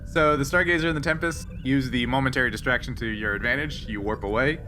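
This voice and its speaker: A man's voice: mid-range voice, fast talker, bright, forceful tone, soft background music. A man acting as game master, narrating a tabletop game casually with a joking, teasing manner. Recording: clearly noisy background hum.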